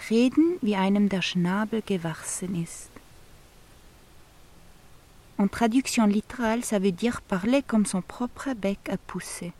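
Podcast host, woman, unhurried lyrical reader with a warm, dark voice. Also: mid-range voice. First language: German. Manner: slow, intimate, lyrical delivery. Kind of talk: reading a poetic letter.

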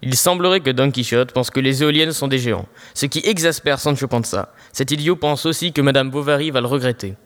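A man's voice: mid-range voice, fairly quick, few pauses, a bit bright. A teenage man reading scripted narration for an audio fiction story. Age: teens.

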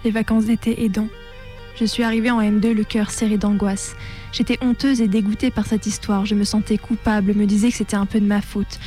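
Even-toned young woman reading a testimony. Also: medium pitch. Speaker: narrator of a personal testimony on a radio show. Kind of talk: scripted first-person narration, literary testimony read aloud.

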